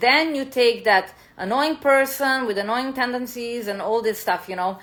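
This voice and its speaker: A woman's voice: medium pitch, fairly bright tone. A woman from Serbia, a spiritual teacher and content creator, talking loosely in a live stream with many fillers and restarts. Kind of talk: casual live-stream talk. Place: home in New York City.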